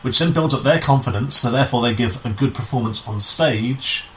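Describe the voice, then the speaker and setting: A man's voice: mid-range voice, brisk, sharp tone, a little hiss or hum, rough recording. A man telling stories casually as an interview guest, restarting and repeating phrases as he explains. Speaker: amateur pantomime director, interview guest. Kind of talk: casual conversational storytelling.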